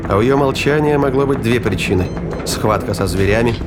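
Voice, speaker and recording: A man's voice: mid-range voice, fairly quick, very noisy. A man giving scripted dramatic narration as the narrator of an audio drama. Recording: loud music behind the voice.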